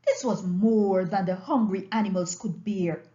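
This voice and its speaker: A woman narrating a storybook aloud as an audiobook reader, with an expressive read-aloud delivery. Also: medium pitch, measured pace, highs cut off.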